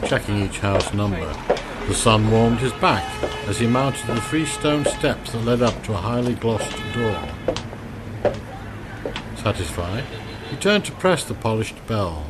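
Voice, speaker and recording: A man narrating a scripted radio drama in a theatrical, deliberate manner. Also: medium pitch, noisy background.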